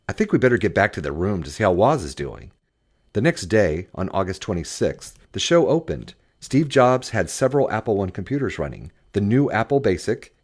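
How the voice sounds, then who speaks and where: A man reading a first-person history as scripted audiobook narration, in an expressive storytelling manner. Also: fairly low pitch. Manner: expressive storytelling, acts out quoted dialogue.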